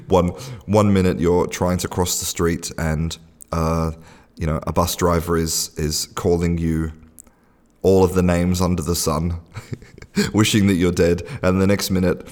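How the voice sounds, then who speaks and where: A man's voice: low voice, flat delivery, measured pace, darkish tone, big-room sound. A man hosting a podcast, telling a casual story with lots of restarts and fillers. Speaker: podcast host. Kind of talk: casual spoken podcast monologue.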